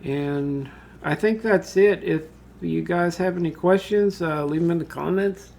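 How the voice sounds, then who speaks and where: Casual man with a slightly creaky voice. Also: fairly high pitch, a little slow, a little mellow. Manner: casual, thinking aloud, addresses viewers as guys. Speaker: tutorial host. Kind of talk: informal screen-share software tutorial, talking through steps while working.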